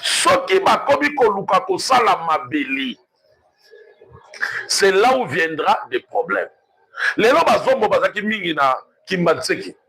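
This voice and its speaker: A man with a Congolese accent makes an impassioned political argument in a high, raspy voice. His pitch swings widely as he stresses points, repeats words and throws out rhetorical questions. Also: big pitch swings, a little slow, pushed and bright, low-quality recording. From Democratic Republic of the Congo.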